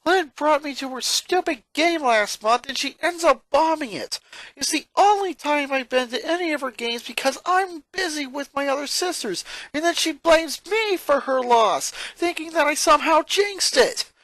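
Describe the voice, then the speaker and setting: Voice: high-pitched, expressive, moderate pace, a bit bright, no room sound, pitched above the performer's own voice, low-quality recording. A narrator voicing a weary, frustrated preteen boy in a cartoon fan-fiction reading. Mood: frustrated, whiny.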